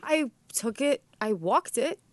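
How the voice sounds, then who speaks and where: A woman from Brooklyn, a guest on a chat show, quick with playful comebacks. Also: sits high, lively intonation, dry recording, studio quality. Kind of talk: casual chat.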